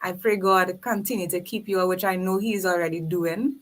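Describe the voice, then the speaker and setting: A woman's voice: lowish voice, steady tone, moderate pace. A woman from Trinidad with a Trinidadian accent, speaking as a guest in an earnest, reflective podcast conversation. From Trinidad and Tobago. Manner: earnest, reflective, faith-filled.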